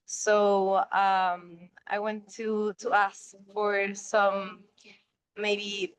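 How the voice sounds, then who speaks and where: A young woman from Chile with a Chilean Spanish accent and Spanish as her first language, asking a question on a video call, pausing often to find her words. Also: medium pitch, flat delivery, clean tone, rough recording. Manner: halting, searching for words. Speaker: workshop participant. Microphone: laptop or phone on a video call.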